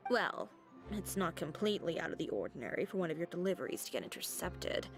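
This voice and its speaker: A woman's voice: lowish voice, sing-song, fast talker, faint music behind. A woman voicing a confident, blunt young female fashion designer with a composed, dry manner, in an anime-style video game. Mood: skeptical.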